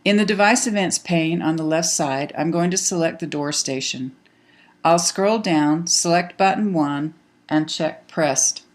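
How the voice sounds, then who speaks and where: A woman reads a scripted software tutorial, explaining each step clearly as an instructional narrator. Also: low voice, a little slow.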